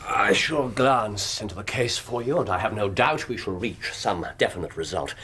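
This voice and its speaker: An actor playing a courteous, authoritative Victorian detective with an English accent and a husky voice, formal and measured, in a radio drama. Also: male voice, fairly low pitch, pushed and bright. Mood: grave reassurance. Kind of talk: period radio drama acting.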